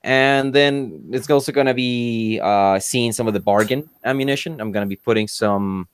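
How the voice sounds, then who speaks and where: A man's voice: mid-range voice, normal speed, clean tone, no room sound. Brief: young guy on a headset, dry close sound, eager show-and-tell, lots of "uh" and "like". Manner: excitable, lots of fillers and restarts. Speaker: panelist showing a rifle. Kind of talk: casual chat, show-and-tell demo. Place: cluttered workshop indoors. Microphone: headset, video call.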